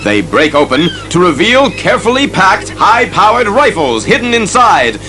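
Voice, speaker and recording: A man's voice: high voice, very animated, normal speed. A man narrating a superhero audio drama in a theatrical, suspenseful scripted style. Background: dramatic music.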